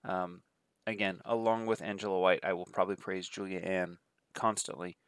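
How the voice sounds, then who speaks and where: Man, nasal, has a cold, low voice, dry close sound, rambling casual review. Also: level tone, normal speed, clean tone, no room sound. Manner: rambling, filler-heavy listing of titles. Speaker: adult film reviewer.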